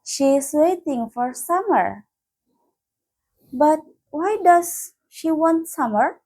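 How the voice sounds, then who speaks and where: A woman reading a children's story aloud in a careful, deliberate, expressive way. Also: high voice.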